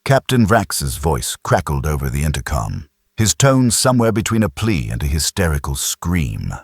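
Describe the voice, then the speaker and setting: A man narrates a sci-fi audiobook in a very deep, husky voice. His delivery is dramatic, with animated pitch movement. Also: no room sound, studio quality.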